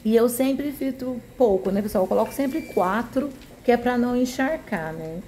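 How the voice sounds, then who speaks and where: A woman's voice: a little high, animated, moderate pace, warm tone, a little hiss or hum. Chatty Brazilian woman narrating a recipe. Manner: chatty, homely, often seeking agreement with tag questions. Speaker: home cooking video host. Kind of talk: casual recipe voice-over.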